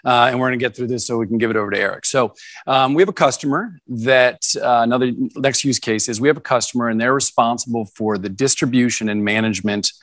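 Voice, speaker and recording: A man with a loud voice presenting at a conference. He talks in a hurry and keeps things moving with fillers and "right?". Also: medium pitch, fast, no echo. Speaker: conference presenter and session moderator. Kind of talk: conference talk. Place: conference session room. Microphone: microphone.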